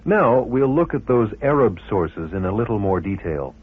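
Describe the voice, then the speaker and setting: A man's voice: fairly low pitch, very animated, moderate pace, husky. A man reading scripted narration for a radio documentary. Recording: narrow-band old radio recording. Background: music.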